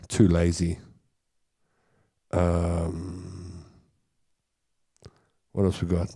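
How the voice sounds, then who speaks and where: A South African man hosting a rambling, jokey monologue, laughing at his own stories. His pitch moves a lot, and his tone stays warm and mellow. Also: slightly rough. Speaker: host. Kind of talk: comic monologue.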